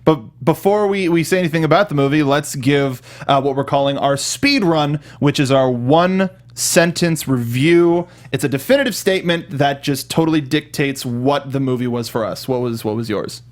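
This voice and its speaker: A man hosting a casual film review, steering the segments in an informal, somewhat halting way. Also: on the high side. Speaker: talk show host steering the segments.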